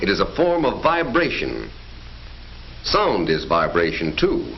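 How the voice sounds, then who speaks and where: A man's voice: sits low, huge pitch swings, a little slow. Emphatic 1940s newsreel-style male narrator. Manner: emphatic, declamatory announcer delivery. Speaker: film narrator. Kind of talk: scripted 1940s educational film narration. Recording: dull 1940s film soundtrack with faint music.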